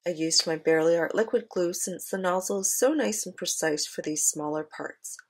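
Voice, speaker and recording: Husky-voiced woman narrating a craft tutorial. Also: on the low side, moderate pace. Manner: clear step-by-step explaining. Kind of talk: instructional voice-over narration of a card-making demo.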